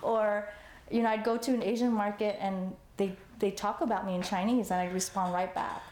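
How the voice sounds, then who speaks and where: Woman guest chatting loose, lots of "like" and "you know", mellow tone, echoey room. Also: medium pitch, steady tone, moderate pace, slight background noise. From United States, Los Angeles. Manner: chatty, lots of fillers, laughs easily. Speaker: artist guest. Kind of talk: conversational interview.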